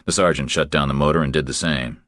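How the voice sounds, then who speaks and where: A man's voice: a bass voice, fairly even intonation, quick pace, fairly bright tone, dry recording, clean studio sound. A man reading a scripted thriller narration as an audiobook narrator.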